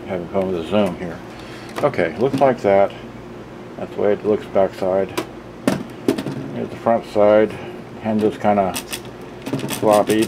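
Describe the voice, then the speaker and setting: Low-voiced man rambling over background noise. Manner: rambling, offhand, thinking aloud. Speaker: gadget reviewer. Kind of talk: casual hands-on product demonstration. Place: indoors at a table, handling devices. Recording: noisy room with steady background hum.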